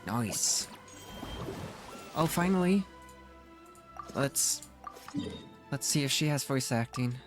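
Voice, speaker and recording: A male game streamer comments casually as he plays, talking to himself in short reactions. His pitch moves around a lot. Music is clearly audible behind him. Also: animated, slow, long pauses. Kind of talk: casual live gameplay commentary.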